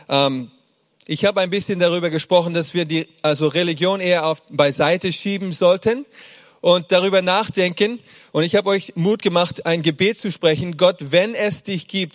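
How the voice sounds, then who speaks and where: A middle-aged man from Germany preaching to a congregation. His voice sits a little high, and he talks warmly, pausing often on fillers as he searches for words. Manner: warm, hesitant delivery with frequent fillers. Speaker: pastor. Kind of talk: sermon.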